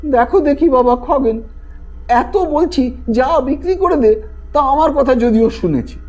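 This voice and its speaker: A man's voice: very high voice, a little slow, a little mellow, highs cut off, voice pushed up. A man voicing a worried, nagging older mother in an audio story, fretful and complaining. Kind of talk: acted dialogue in an audio story. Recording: music behind the voice.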